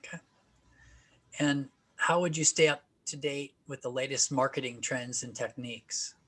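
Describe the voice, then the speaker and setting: A man conducting a practice job interview, asking questions in a measured way with occasional fillers. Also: medium pitch, fairly bright tone.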